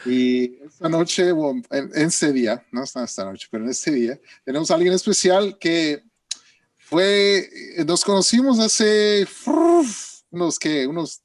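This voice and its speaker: A man with a Mexican accent hosting a casual interview, halting and filler-heavy as he talks. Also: fairly high pitch, expressive, dry recording, distant mic. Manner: hesitant, many fillers and restarts. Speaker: interview host.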